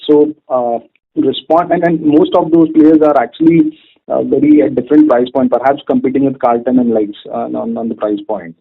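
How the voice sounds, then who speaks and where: A man's voice: mid-range voice, fairly even intonation, moderate pace, husky. A man asking questions as an analyst on a business call, phrasing them in a hesitant, roundabout way. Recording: narrow muffled phone conference line.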